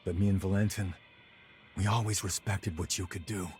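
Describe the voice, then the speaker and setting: An actor playing a loyal, frank young fighter in a video game, talking casually. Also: male voice, deep voice, steady tone, normal speed, darkish tone, soft background music, natural voice. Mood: earnest, reflective. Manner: casual, colloquial. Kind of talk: video game cutscene dialogue.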